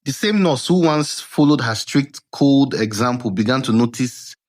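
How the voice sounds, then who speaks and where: A man narrating a scripted folktale dramatically, with measured suspense and deliberate pauses, as a storyteller. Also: medium pitch, lively intonation, a little mellow. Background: background music.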